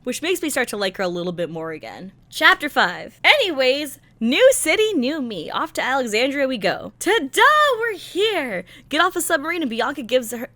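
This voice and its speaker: A woman's voice: sits high, fairly bright tone, dry recording. A woman giving a casual, slangy recap commentary as a content creator, with sarcastic, exasperated asides and theatrical emphasis. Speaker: content creator reviewing a visual novel.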